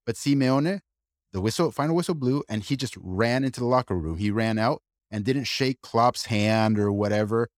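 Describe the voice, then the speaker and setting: A man co-hosting a casual discussion, arguing his point in an animated way with quick asides and restarts. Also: medium pitch, dry recording, clean studio sound. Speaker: podcast co-host.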